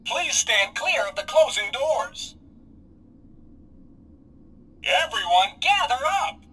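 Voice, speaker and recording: Bright, high-pitched robot bus driver. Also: male voice, big pitch swings, breathy edge, heavily acted. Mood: cheerful, announcing. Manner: chirpy, slow, sing-song public announcements. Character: cheerful robot bus driver with hydraulic legs. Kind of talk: video game character voice lines.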